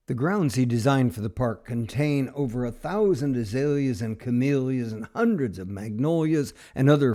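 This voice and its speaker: A man hosts an unpolished travelogue. His voice is soft-edged and mellow, and he talks in a halting, informal, folksy way, like someone sharing a trip. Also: medium pitch. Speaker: travel show host and narrator.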